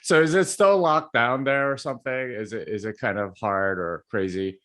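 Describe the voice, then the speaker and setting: A man's voice: medium pitch, normal speed, a bit bright. Chatty, laughing podcast host man. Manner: chatty, laughs often, stammering restarts. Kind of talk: casual interview hosting.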